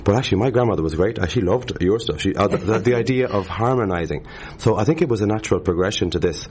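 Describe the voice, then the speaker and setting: A man with a very low voice talks quickly about tradition and music in a casual interview. He rambles and restarts, carried along by his own enthusiasm. Faint background noise hums behind him. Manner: animated, rambling, many restarts. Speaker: harpist, interview guest.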